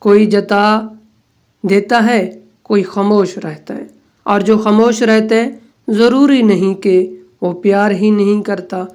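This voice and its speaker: Woman, medium pitch, very unhurried scripted recitation, deliberate pauses between phrases. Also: steady tone, darkish tone. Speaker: narrator of quotations. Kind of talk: scripted recitation of Urdu quotes.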